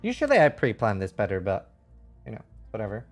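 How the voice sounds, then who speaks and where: A man's voice: fairly low pitch, huge pitch swings, fairly quick, soft background music. A man doing casual live game commentary, reading chat and thinking aloud in a frank, rambling way with some casual swearing. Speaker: livestreamer.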